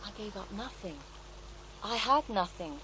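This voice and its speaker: An actress playing a serene, devout faith healer in a radio drama, reflective and gently assured as she tells her story. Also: medium pitch, expressive, measured pace, slight background noise, low-quality recording. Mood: serene conviction. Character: serene devout female faith healer, former child therapist, wistful. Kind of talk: naturalistic radio drama acting.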